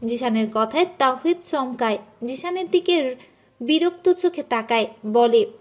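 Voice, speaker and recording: High-pitched woman narrating a story. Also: lively intonation, unhurried.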